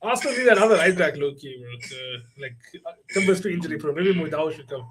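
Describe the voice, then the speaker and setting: High-voiced man, animated football fan banter. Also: fast, crowd noise. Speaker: football show panelist.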